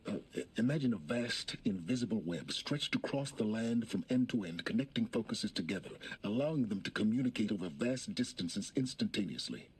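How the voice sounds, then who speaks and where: An actor voicing a calm, measured male guide in a video game, explaining things in a steady, deliberate way. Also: on the low side, slightly rough. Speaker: game character.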